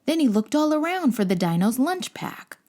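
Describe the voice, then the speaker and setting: Expressive woman reading a children's storybook. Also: high-pitched, normal speed. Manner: dramatic, playful emphasis. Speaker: children's storybook reader, channel host. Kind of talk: expressive scripted storybook narration.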